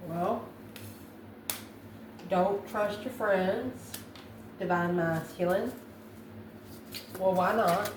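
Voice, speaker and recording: A woman's voice: mid-range voice, very slow, pauses often. Echoey, distant woman reading tarot. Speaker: tarot reader, host. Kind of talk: intuitive tarot card reading, talking to viewers. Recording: roomy echo, distant mic, background noise.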